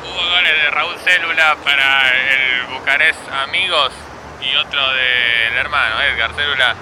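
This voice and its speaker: A man's voice: mid-range voice, a little slow, noisy background, natural voice. A man playing an officious, absurd Argentine dispatcher in a radio comedy, making clipped announcements and repeating phrases. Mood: announcing. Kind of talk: comic radio dispatch parody.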